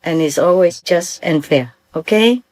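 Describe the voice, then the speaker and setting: A woman's voice: lowish voice, animated, unhurried, darkish tone. An older woman from Vietnam with a Vietnamese accent, giving an earnest, informal talk as a spiritual teacher and often checking that her listeners follow.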